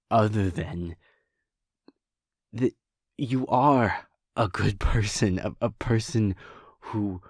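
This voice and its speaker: A man with a low, slightly breathy voice speaks to his partner in a soft, halting way, often trailing off and restarting. He talks slowly and unhurriedly. The sound is dry and close. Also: no room sound. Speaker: audio roleplay performer. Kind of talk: intimate audio roleplay, speaking to the listener.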